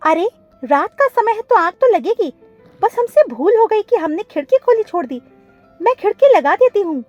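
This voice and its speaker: A woman's voice: extremely high pitch, very animated, normal speed, darkish tone, no room sound, dull audio, faint music behind, pitched above the performer's own voice. A woman voicing a small, protective female bird in a cartoon story, exclaiming emphatically. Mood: relieved, concerned.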